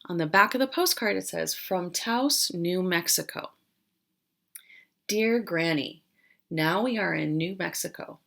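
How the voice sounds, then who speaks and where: A woman teacher doing an interactive read-aloud for young children, explaining as she goes and pausing after questions. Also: lowish voice, vocal fry, pushed and bright. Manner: questioning, explanatory, with pauses for answers.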